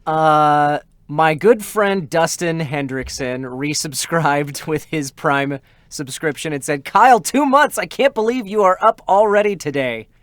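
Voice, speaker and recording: A man's voice: a little high, unhurried, a bit bright, dry recording, clean studio sound. Easygoing man hosting a livestream chat. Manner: appreciative, thanks viewers often. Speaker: livestream host. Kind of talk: casual livestream chat, reading out subscriber messages. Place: indoors in an air-conditioned room.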